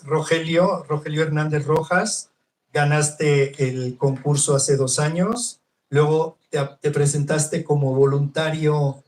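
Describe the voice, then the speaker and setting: A man moderating a panel, introducing speakers slowly and hesitantly. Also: fairly high pitch, distant mic, low-quality recording.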